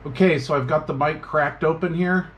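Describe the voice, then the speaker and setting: A man giving a relaxed, casual review of radio gear, chatting with plenty of fillers as he works at a tabletop. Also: fairly high pitch, moderate pace.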